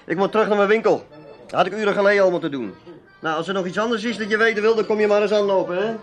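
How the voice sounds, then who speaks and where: A man's voice: high-pitched, very fast, faint music behind, lightly acted. A man playing a chatty, evasive small-time dealer in a radio drama, with a folksy, hesitant way of talking. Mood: casual, evasive. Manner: folksy, hesitant, tag-heavy talk. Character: chatty, evasive male dealer in odds and ends.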